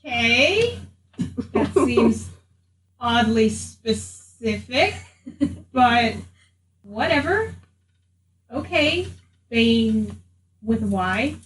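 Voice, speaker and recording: A woman role-plays in a high voice with lively swings of pitch. She takes her time, in an echoey recording of a game table. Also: extremely slow, long pauses, far from the mic. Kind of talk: casual in-character role-play. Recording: echoey room, off mic, faint noise.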